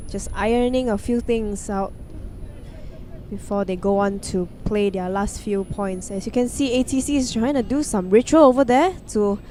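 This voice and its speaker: A woman's voice: medium pitch, normal speed, mellow. A woman doing chatty, detailed live play-by-play commentary at an outdoor field. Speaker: sports commentator. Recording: outdoor recording with clear crowd noise. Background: crowd.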